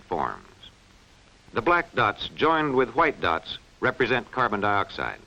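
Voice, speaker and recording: Bright-voiced man narrating an old educational film. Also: medium pitch. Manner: clearly enunciated, didactic delivery. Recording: dull, band-limited vintage film soundtrack.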